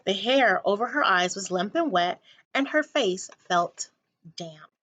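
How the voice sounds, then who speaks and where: A woman narrating a children's book aloud in an expressive read-aloud style, like a teacher to a class. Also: medium pitch, a little slow.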